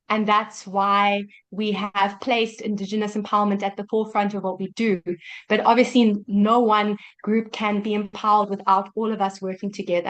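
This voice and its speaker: Woman from South Africa, mid pitch, earnest and reflective, conference co-host, video call mic. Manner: earnest, reflective, with many restarts. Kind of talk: online conference talk. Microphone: computer mic on a video call.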